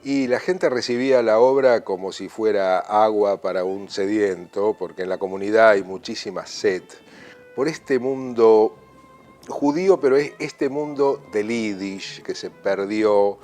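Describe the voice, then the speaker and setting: A man's voice: fairly low pitch, a little slow, darkish tone, soft background music. A man speaking earnestly in an interview, stressing his points.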